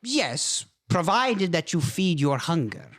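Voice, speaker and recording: A man's voice: a little high, big pitch swings, slow, fairly bright tone, exaggerated voice. An actor playing a courtly, easily flustered vampire father with formal, stilted phrasing in a campy horror comedy. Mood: persuasive, reassuring.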